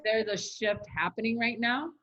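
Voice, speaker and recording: A woman podcast co-host in casual chat, explaining her views in a measured, reflective way. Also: fairly high pitch, fairly bright tone. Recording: dull lo-fi mic.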